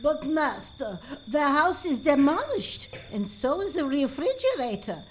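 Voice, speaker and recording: Woman playing slow, high-voiced devoted servant. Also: big pitch swings, a little hiss or hum. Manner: solemn, melodramatic, subservient. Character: devoted, doleful female servant of a mad scientist. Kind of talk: comic stage radio play acting.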